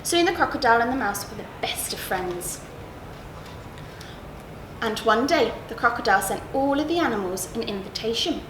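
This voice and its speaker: A high-pitched woman reads a bedtime story to children. Her delivery is deliberate and dramatic, leaning on key words. The room is echoey, with noise in the background. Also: expressive. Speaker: story reader at a school. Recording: roomy echo, clear background noise.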